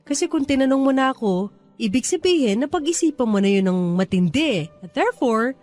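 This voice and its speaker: A high-voiced, humble young protégée teacher. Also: female voice, darkish tone. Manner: earnest, deferential. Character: humble, grateful woman teacher, loyal protégée. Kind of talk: radio drama dialogue in Taglish.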